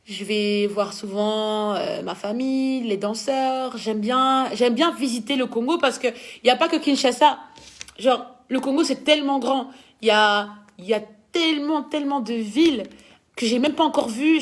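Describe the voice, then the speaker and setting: Chatty Belgian woman with a bright pitch. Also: normal speed. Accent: Belgian French. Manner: chatty and animated, reads out questions then answers. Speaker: dancer answering fan questions. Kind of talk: casual live Q&A with viewers.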